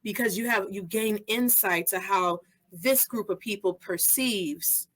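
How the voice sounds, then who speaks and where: Woman, 30s, podcast host, bright tone, animated, mixes in Spanish. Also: medium pitch, a little slow, rough recording. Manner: animated, mixes Spanish phrases into English, slangy. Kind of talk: casual interview chat.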